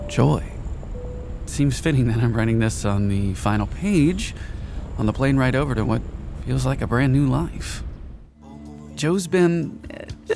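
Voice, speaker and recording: A man's voice: mid-range voice, darkish tone, close to an everyday voice. An actor playing a tender, devoted middle-aged family man, speaking in a sincere, reflective and lightly humorous way. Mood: fond. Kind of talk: heartfelt voice acting, journal narration. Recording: voice over background music.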